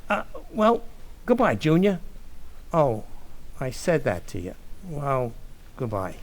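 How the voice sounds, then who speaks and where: A man's voice: mid-range voice, wildly swooping pitch, takes it slowly, full character voice. An actor playing a bumbling henpecked family man in an old-time radio comedy, hesitant and flustered as he blusters. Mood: hurt, stalling.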